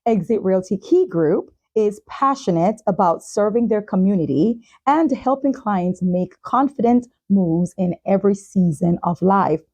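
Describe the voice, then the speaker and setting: A woman's voice: mid-range voice, expressive, measured pace, hardly any pauses, mellow, breathy edge. A woman hosting a talk show, presenting and putting questions to a guest. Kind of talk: hosted talk show, sponsor reads and interview questions.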